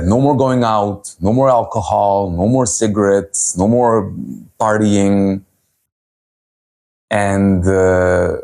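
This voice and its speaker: A man in his thirties from Serbia, telling his personal story as a holistic health coach at a virtual event in an earnest, unhurried way. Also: low voice, darkish tone. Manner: earnest, unhurried, with frequent pauses and fillers.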